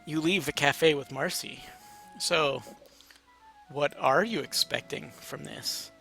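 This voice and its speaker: A man's voice: on the high side, slow pace, gravelly, pushed and bright, faint music behind, low-quality recording. A man narrating a story aloud in a casual, amateur, playful way. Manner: informal, playful.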